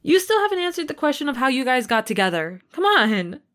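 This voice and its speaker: A woman's voice: high-pitched, very animated, fairly quick, a bit bright. A woman acting as a teasing, easygoing older sister in an audio roleplay, with playful, exaggerated reactions. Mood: accepting.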